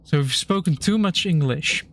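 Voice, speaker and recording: Young guy streaming, dry deadpan explainer, kinda high voice, faint hiss behind. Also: normal speed. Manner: dry, explains technical points, deadpan puns. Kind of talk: casual chat while gaming.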